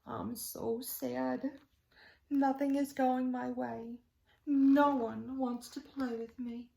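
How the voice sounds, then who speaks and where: A woman's voice: high voice, little pitch movement, vocal fry, mellow, pitched above the performer's own voice, full character voice. Woman as a plaintive, lonely storybook alligator. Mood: sad, lonely. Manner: plaintive, drawn-out. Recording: echoey room.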